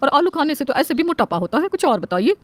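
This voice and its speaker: A woman playing a sharp-tongued, shrewd older housemaid in a family story, saucy and always talking back. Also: sits high, sing-song, fast, no echo, pitched above the performer's own voice. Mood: cheeky.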